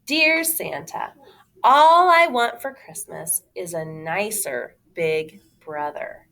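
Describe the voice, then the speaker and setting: An earnest little girl from a children's storybook, played by a woman reading aloud. She speaks slowly and firmly, full of belief. Her pitch rises and falls expressively. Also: animated, gravelly, sharp tone, full character voice, rough recording.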